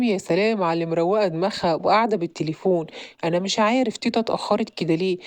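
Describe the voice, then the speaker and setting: A young boy plays the brave big brother in this audio drama, issuing rapid, bossy orders, voiced by a woman. His mid-pitched voice is very quick, and the sound is dry and close. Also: darkish tone, dry recording, lightly acted. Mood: cheeky. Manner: bossy, protective.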